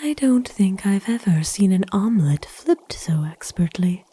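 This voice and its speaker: Casting: woman as ancient vampire noblewoman for ASMR roleplay, very dark soft tone, breathy whisper, kind and formal, unhurried. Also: medium pitch, expressive. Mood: warm, gentle. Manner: formal, slow, tender, hesitant pauses. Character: kind, courtly centuries-old female vampire owner, gently doting. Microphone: close dry microphone.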